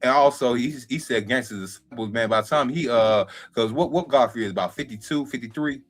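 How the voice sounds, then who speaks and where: A man's voice: mid-range voice, brisk, faint music behind. A man co-hosting a casual talk stream, speaking in slang with trailing fillers. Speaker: co-host, novice comedian. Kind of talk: casual talk-show chat.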